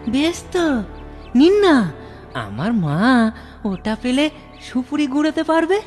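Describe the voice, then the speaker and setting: A woman's voice: high voice, big pitch swings, slow pace, darkish tone, soft background music, exaggerated voice. A woman voicing a naive, honest young village boy in a cartoon, exclaiming and repeating words. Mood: friendly.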